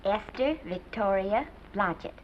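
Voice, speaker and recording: A woman's voice: medium pitch, expressive, a little slow, natural voice. A young woman playing an earnest small-town girl who hopes to act, in a 1930s Hollywood film. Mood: shy, earnest. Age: young adult. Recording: dull noisy old film soundtrack.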